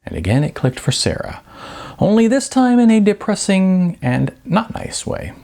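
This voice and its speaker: A man narrating a novel in an expressive, ironic storytelling manner. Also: high voice, moderate pace, gravelly. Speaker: audiobook narrator.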